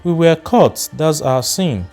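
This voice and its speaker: A man's voice: on the high side, lively intonation, slow, a little mellow, soft background music, pitched above the performer's own voice. A man voicing an unrepentant young woman who shrugs off her wrongdoing. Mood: defiant.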